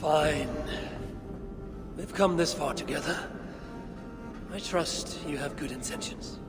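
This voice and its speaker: An actress playing a proud, suspicious Viking warrior woman in a video game, husky and terse, with a Scandinavian accent. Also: low-pitched, takes it slowly, over music. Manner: terse, blunt. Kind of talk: video game cutscene acting.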